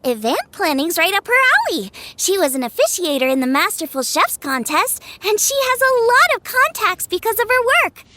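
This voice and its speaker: Very high, lilting young girl. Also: sing-song, moderate pace, few pauses, sharp tone, no echo, heavily acted. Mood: chatty. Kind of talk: anime game voice acting.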